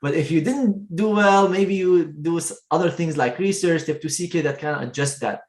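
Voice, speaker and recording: A man's voice: a little high, moderate pace, a little mellow, echoey room. A man hosting a video interview, enthusiastically praising his guest and asking her questions. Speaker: host.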